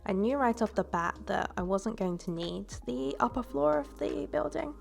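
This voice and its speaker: A woman's voice: a little high, normal speed, gravelly, soft background music. A woman with a stuffy voice from a cold, rambling casually through a voice-over about her build, with fillers and self-corrections. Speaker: game builder and YouTuber. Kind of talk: relaxed voice-over commentary on a building video.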